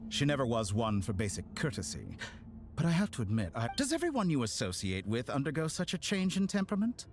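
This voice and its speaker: An actor playing an arrogant, mocking villain scientist with godlike ambition in a scripted video game. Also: male voice, mid-range voice, big pitch swings, brisk, lightly acted. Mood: mocking courtesy. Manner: pompous, mocking, mannered speech. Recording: game audio with music underneath.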